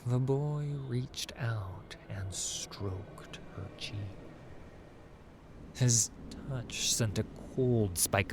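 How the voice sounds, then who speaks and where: A man narrating a fantasy story in a dramatic, deliberate, intense scripted style. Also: fairly low pitch. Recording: clear noise and ambience behind. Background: ambience and music.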